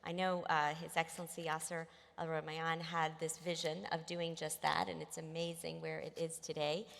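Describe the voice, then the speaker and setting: Gravelly-voiced woman panelist in a large hall. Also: low-pitched, flat delivery, normal speed. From Egypt. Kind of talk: panel discussion. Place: large hotel conference hall. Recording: reverberant conference hall.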